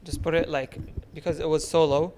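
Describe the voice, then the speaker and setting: A man's voice: a little high, flat delivery, brisk, noisy background. A man from Saudi Arabia hosting a podcast in casual conversation. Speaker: podcast host.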